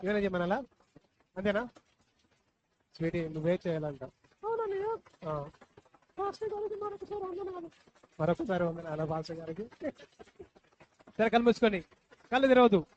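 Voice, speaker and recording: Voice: mid-range voice, normal speed, long pauses, a little mellow. A puppet show host talking live to children, coaxing and repeating questions. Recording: dull lo-fi live recording, faint noise.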